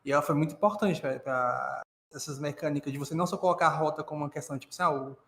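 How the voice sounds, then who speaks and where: Brazilian guy chatting opinions on stream, talks quick, echoey room with some noise. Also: a little high, vocal fry, a bit bright. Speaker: reaction stream co-host. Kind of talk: casual opinionated commentary.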